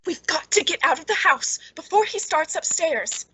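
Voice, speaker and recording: A woman acting a bitter, clever, quietly menacing character in an old-time radio suspense play, brooding and pointed in delivery. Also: very high-pitched, animated, moderate pace, heavily acted. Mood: urgent, hushed alarm.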